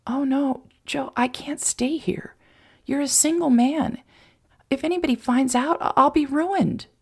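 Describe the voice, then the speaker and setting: A woman reading an injured, anxious young woman who speaks softly and often near a whisper. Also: sits high, a little slow, gravelly, voice pushed up. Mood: hurt, anxious. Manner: soft, strained, often whispery.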